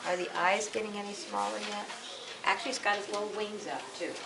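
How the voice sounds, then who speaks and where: A woman's voice: medium pitch, fairly even intonation, fast, vocal fry. A woman speaking as an elementary school teacher, giving informal instructions and talking with young children in a classroom. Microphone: distant from the speaker. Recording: distant, echoey, very noisy classroom recording.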